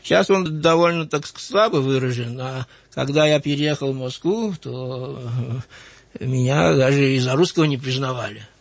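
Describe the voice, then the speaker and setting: A man in his sixties from Georgia with a light Georgian accent, a writer recalling his life in an interview, measured and thoughtful with frequent hesitant fillers. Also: mid-range voice, creaky, no room sound. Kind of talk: reflective interview recollection.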